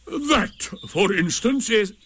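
A man voicing a gloomy, scornful old donkey in a storybook, with slow and solemn pronouncements. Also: high voice, expressive, a bit bright, slight background noise, pitched above the performer's own voice, heavily acted. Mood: solemn, ponderous.

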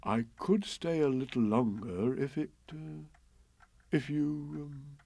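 A man voicing a slow, greedy, anxious toy bear in a storybook, with a hesitant, drawn-out, plaintive delivery. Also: a little high, vocal fry, dark tone, exaggerated voice. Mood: hesitant, let down.

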